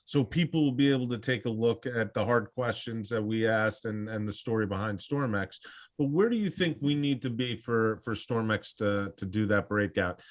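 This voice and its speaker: Halting male livestream host. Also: on the low side. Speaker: show host. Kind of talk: livestream crypto market talk.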